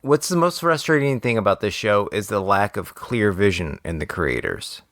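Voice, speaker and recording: Lowish guy co-hosting a podcast, very lively pitch, hammers his points in casual critique. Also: normal speed, dry recording, studio quality. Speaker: podcast co-host.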